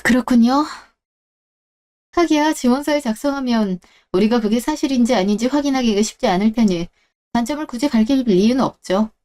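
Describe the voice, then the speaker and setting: Poised young woman boss, voiced by a woman in a radio drama: high voice, calm and cutting. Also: a little fast, natural voice. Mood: cool. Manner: cool, composed, pointed. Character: young woman co-CEO interviewing her ex-husband. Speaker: job interviewer. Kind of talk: Korean story radio drama.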